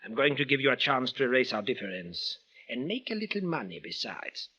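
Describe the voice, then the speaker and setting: A man's voice: mid-range voice, sing-song, a little fast, sharp tone, breathy edge, full character voice. An actor playing a big, vengeful man from Damascus in an old radio drama, speaking formal, stilted English. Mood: scheming, persuasive. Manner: formal, stilted foreigner's phrasing without contractions. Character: tall, big, menacing, vengeful bandaged foreign man from Damascus. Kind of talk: 1940s-50s radio drama acting. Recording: dull old radio recording.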